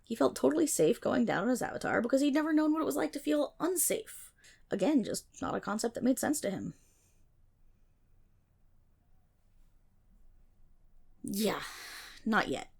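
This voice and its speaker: A woman's voice: on the high side, big pitch swings, a little fast, creaky, a little mellow, husky, exaggerated voice. A woman playing a chatty, irreverent nerdy best friend, rambling wryly and sarcastically in a casual ASMR storytelling roleplay. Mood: explaining, wry. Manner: rambling, wry, sarcastic, theatrical asides.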